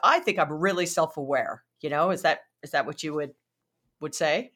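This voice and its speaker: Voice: mid-range voice, moderate pace, fairly bright tone. An interview host asking long, rambling questions with bits of laughter.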